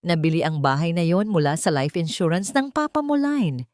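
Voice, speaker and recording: A woman voicing a calm, sympathetic male lawyer who explains grave news gently in an audio drama. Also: fairly low pitch, normal speed, no echo, clean studio sound, close to an everyday voice.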